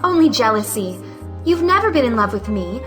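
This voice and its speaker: A woman's voice: on the high side, big pitch swings, brisk, over music. An actress playing a proud, frank young woman in an audio play, speaking with emphatic dignity. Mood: accusing. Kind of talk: audio play acting of a 1910s stage comedy.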